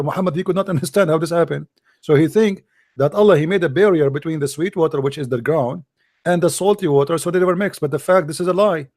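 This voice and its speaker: A man hosting a combative call-in debate, his voice tired and dry, who keeps saying "my friend" and often clears his throat. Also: a little high, darkish tone. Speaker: host of an online call-in debate.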